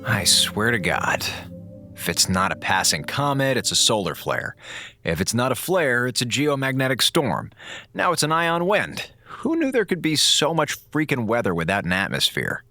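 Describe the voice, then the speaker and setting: A man's voice: mid-range voice, sing-song, moderate pace, pushed and bright. A man playing a laid-back, sarcastic communications officer in a space audio drama, with a wry, slangy, theatrical delivery. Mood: exasperated. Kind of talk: audio drama acting, log narration. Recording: music under the voice.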